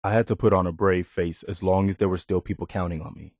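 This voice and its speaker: Low-voiced, earnest militia soldier. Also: male voice, steady tone, fast talker, darkish tone, no echo, clean studio sound. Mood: heartfelt, sincere. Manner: sincere, plain-spoken, hesitant when opening up. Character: earnest, weary militia soldier, loyal and humble man. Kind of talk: video game character dialogue.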